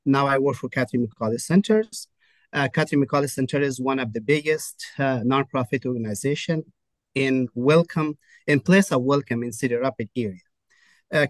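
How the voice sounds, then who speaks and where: A man speaks on a conference panel, stopping often on uh and restarting his sentences. His pitch sits in the middle of the range, and the sound is dry and close. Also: no room sound, poor audio. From Afghanistan. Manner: halting, many uh fillers and restarts. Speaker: refugee services program manager. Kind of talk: panel introduction.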